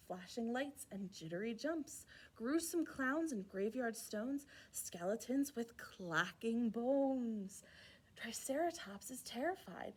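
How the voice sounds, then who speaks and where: A woman hosting a children's storytime, reading a rhyming picture book aloud in an expressive, playful way with asides to young listeners. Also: high-pitched, husky. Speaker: children's library storytime host.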